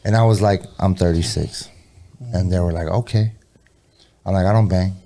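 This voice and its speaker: A man's voice: low voice, steady tone, normal speed, dark tone. A man in his thirties from California, a rapper and producer, chatting casually on a podcast while tipsy, with loose, profane street slang. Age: 30s.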